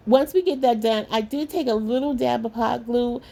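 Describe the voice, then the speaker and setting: A middle-aged woman hosting a craft channel, narrating a tutorial in a chatty way with a slightly hoarse voice and calling her viewers lovies. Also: on the high side, moderate pace. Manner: warm, chatty, calls viewers lovies and y'all.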